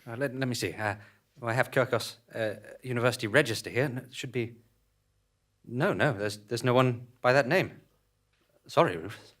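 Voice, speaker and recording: A man's voice: medium pitch, moderate pace, a bit bright, natural voice. A man playing a mild-mannered, eager radio station assistant in an audio drama, courteous and apologetic.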